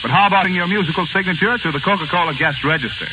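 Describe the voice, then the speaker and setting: A man announcing on a 1940s radio program, smooth and polished, with an upbeat delivery. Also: high-pitched, fast talker, background noise. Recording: lo-fi 1940s radio broadcast with band music.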